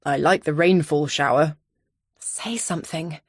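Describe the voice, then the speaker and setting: A woman's voice: low-pitched, steady tone, measured pace, breathy edge, no room sound, studio quality. A woman voicing a casually confident, probing man viewing a house in a thriller audiobook. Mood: breezy, probing.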